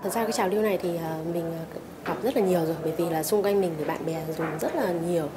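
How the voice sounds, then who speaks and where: A woman in her twenties giving an informal interview answer, a little halting. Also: lowish voice, noisy background. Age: 20s. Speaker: social media user interviewee.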